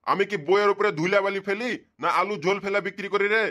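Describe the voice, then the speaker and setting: A man's voice: sits high, little pitch movement, fast talker, bright, forceful tone. A man voicing a grumpy, bald old bookshop keeper in a comedy cartoon, scolding and sarcastic. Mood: angry, sarcastic. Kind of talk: comic cartoon dubbing.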